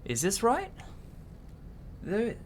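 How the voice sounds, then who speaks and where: A man's voice: high voice, wildly swooping pitch, very slow, a little hiss or hum. A man doing livestream game commentary, switching between casual asides and theatrical reading of on-screen story text. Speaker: livestream gamer.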